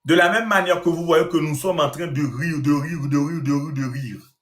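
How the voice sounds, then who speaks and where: A man from Haiti lectures his audience in a long, scolding rant, repeating phrases to hammer his point home. His voice is bright and a touch high. The room around him echoes. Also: moderate pace. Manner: scolding, repetitive, addresses the audience directly. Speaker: online commentator. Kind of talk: ranting monologue to viewers.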